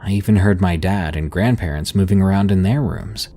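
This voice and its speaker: Low dark-voiced guy reading creepy stories slow and hushed, faint music underneath. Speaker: narrator. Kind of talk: scripted horror narration. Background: soft music.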